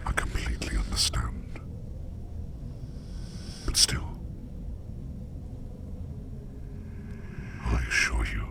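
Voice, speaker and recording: A man voicing a curious, courteous sleep demon in an ASMR roleplay, speaking in a breathy, gravelly whisper with formal, measured, reassuring phrasing. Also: very deep voice, full character voice. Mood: calm, reassuring.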